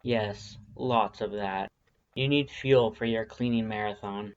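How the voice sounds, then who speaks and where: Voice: medium pitch, steady tone, slow pace. Wry story narrator, slightly muffled recording. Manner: conversational, wry. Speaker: audiobook narrator of fan fiction. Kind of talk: expressive scripted story narration.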